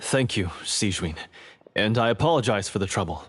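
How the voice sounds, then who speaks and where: An actor playing a gruff, dutiful prison guard in a video game, a man with a husky voice. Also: fairly low pitch, lively intonation, normal speed, a bit bright, soft background music. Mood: apologetic. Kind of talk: English video game voice acting.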